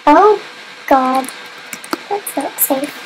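A woman's voice: high-pitched, lively intonation, very slow, over music, rough recording. A young woman giving casual, giggly commentary over her gameplay, her nose stuffed up as she comes down with a cold. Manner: chatty, giggly, self-mocking. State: stuffy nose, getting sick. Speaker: gamer.

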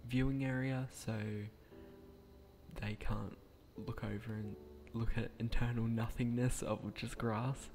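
A young man with a croaky voice, speaking quietly at night in a hesitant, rambling way with frequent restarts as he narrates a game build. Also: mid-range voice, flat delivery, unhurried, over music. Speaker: gaming channel creator.

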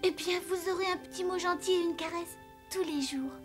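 A woman's voice: extremely high pitch, fairly even intonation, brisk, music in the background. A woman voicing an eager, innocent, kind-hearted little girl in a French cartoon dub. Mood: tender concern. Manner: bright-eyed, eager, innocent. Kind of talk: French animated series dub.

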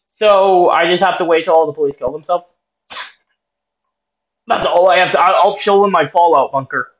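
A man's voice: high-pitched, normal speed, highs cut off. A man giving casual, joking reaction commentary, swearing now and then and reading on-screen text aloud. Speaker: reaction video host.

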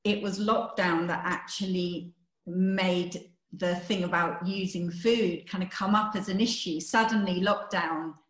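A woman's voice: fairly low pitch, fairly even intonation, unhurried. A woman researcher answering questions in an online seminar, thoughtful and searching for words with many restarts, heard over a laptop video call. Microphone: laptop webcam on video call. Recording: echoey room on video call.

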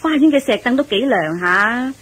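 A woman with a Hong Kong Cantonese accent playing a gentle, patient queen consort in a radio drama, soothing and deferential. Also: fairly high pitch, animated, moderate pace. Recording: clear background noise.

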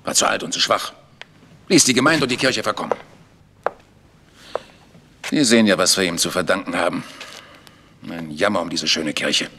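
A man's voice: low voice, normal speed, pauses often, sharp tone, a little hiss or hum. An actor playing a defensive, resigned village churchman in a German radio crime drama, explaining himself emphatically. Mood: bitter, resigned.

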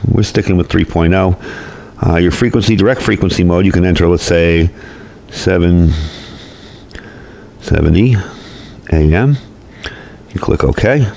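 A man's voice: sits low, unhurried, creaky, long pauses, darkish tone. A man giving a casual, folksy product review and demonstration, explaining things informally as he goes. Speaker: host, gear reviewer. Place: indoors at a desk or workbench.

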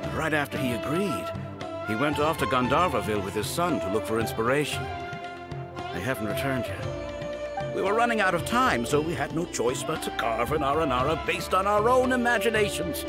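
A man's voice: mid-range voice, very animated, moderate pace, natural voice. A man voicing an earnest middle-aged craftsman in a game, explaining things plainly. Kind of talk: game cutscene dialogue. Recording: loud game soundtrack music behind.

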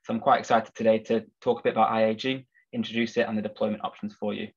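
A man hosting a business webinar, sounding husky from a cold, relaxed with frequent filler words. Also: lowish voice, quick pace. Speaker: webinar host. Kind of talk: corporate webinar presentation. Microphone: video call. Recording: slightly dull video call audio.

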